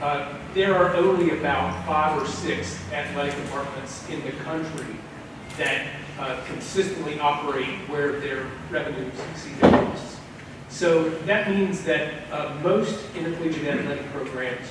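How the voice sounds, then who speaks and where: A man, an economics professor, explaining research on a panel in a measured lecturing way. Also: a little high. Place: large echoey event hall. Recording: echoey hall from far off, noisy.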